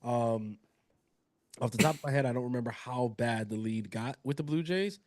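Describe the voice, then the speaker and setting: A man with a cold who sounds nasal, co-hosting a casual sports chat in a relaxed, conversational way. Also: medium pitch, moderate pace, slight background noise. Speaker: podcast co-host.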